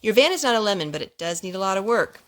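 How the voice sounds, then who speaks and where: A woman's voice: medium pitch, expressive, fast talker, fairly bright tone, close to an everyday voice. A woman voicing a kindly, practical male mechanic from a children's storybook, explaining things plainly and reassuringly. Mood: patient, explanatory.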